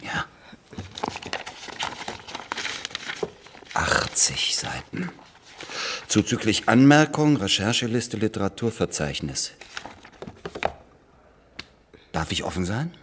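An actor playing a blunt, impatient middle-aged lawyer and entrepreneur in a radio drama, talking in a sarcastic way that builds to exasperation. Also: male voice, lowish voice, lively intonation, unhurried, noisy background. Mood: dry, sardonic. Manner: sarcastic, rising to shouting exasperation.

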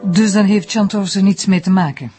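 An actress playing an inquisitive, sceptical magistrate with a husky voice in a TV crime drama. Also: female voice, medium pitch, fairly even intonation, normal speed, mellow, faint music behind, natural voice. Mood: probing, surprised. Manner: questioning, probing.

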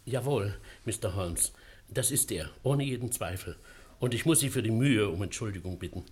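Older gentleman, low husky voice, lively courteous phrasing, 1950s radio play. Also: normal speed. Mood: polite, affable. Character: impoverished gentleman who lost his goose.

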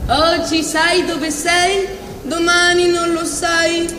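A woman playing a very high-voiced singsong bereaved lady. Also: level tone, slow, sharp tone, soft background music, heavily acted. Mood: singsong complaint. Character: bereaved woman trading weary clichés at a condolence visit. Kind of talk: live comic stage dialogue. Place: stage at a live benefit evening. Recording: roomy live hall, faint noise.

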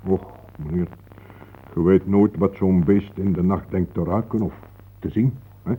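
An actor playing an older, guarded, matter-of-fact local man with a husky voice, formally polite, in a Dutch radio play. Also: low-pitched, unhurried, phone-quality sound.

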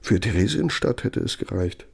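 Gravelly, menacing embittered ex-official. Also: male voice, fairly low pitch, animated, a little mellow, slight background noise. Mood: bitter, insinuating. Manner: sardonic, menacing, emphatic, with drawn-out pointed phrases. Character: embittered, threatening former Nazi official, male, older, sardonic. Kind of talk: German radio play dramatic monologue.

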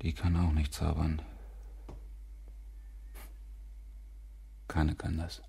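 Husky, weary male lover. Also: rumbling low, warm tone, full character voice. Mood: bleak, resigned. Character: weary, cynical, restless visiting lover. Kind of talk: radio drama acting.

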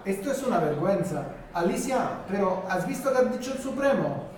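A woman's voice: medium pitch, very animated, brisk, a little mellow, natural voice. Woman playing a distant, echoey, indignant customer. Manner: indignant, blunt. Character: indignant, outspoken café customer. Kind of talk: acted radio drama dialogue.

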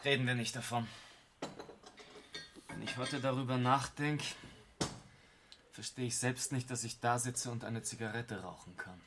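A man plays a tall, thin, weary Austrian ex-prisoner in a radio drama. His mid-pitched voice is dry, wry and reserved. Some noise and clicking sits behind it. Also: steady tone, measured pace, long pauses, lightly acted. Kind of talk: German radio play acting.

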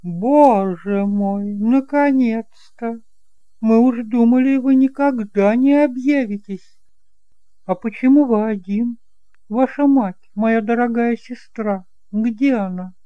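Audio drama aunt , played by a man: middle-aged widow, pitched up high, exclamatory, gushing yet snobbish. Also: slow pace, dark tone, clean tone, no echo. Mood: dramatic, indignant. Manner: theatrical, exclamatory.

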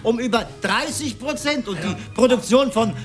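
An actor playing an awkward, pedantic older working man with a halting, formal way of talking. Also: very high voice, expressive. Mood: pedantic, reluctant. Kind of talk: radio drama acting. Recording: roomy radio drama, music and noise behind.

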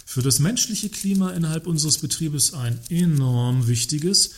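An actor playing a cheeky works council member, mock-polite and provocative, in a satirical radio play. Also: male voice, on the high side, expressive, unhurried.

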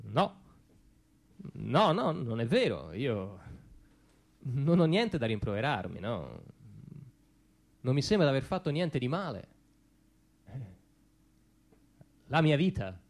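A man acting a defensive, evasive ordinary father in a halting, repetitive theatrical monologue. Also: mid-range voice, very animated, full character voice. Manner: hesitant, halting, repeating himself. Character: defensive ordinary middle-class father, evasive about his past. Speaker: stage actor performing a monologue. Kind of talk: theatrical comic monologue, as if answering questions.

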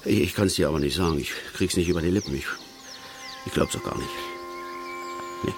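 A man's voice: low-pitched, background noise. An actor playing a self-righteous, talkative middle-aged father and angler in a radio drama, in a rambling, colloquial manner. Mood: distressed. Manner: rambling, colloquial, halting asides, self-important. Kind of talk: naturalistic German radio drama monologue and dialogue.

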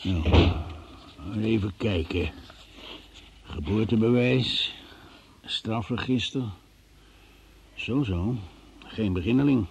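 An actor playing a forceful police investigator in a radio drama, formal, probing and theatrical in his delivery, with a husky voice. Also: lowish voice, extremely slow, long pauses, slight background noise, heavily acted. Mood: measured, dry. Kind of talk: Dutch radio drama acting.

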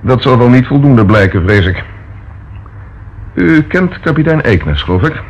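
A man's voice: medium pitch, very animated, unhurried. A man acting a persistent, courteous police inspector in a Dutch radio play, questioning formally and politely. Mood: pressing. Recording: dull, faintly noisy old radio recording.

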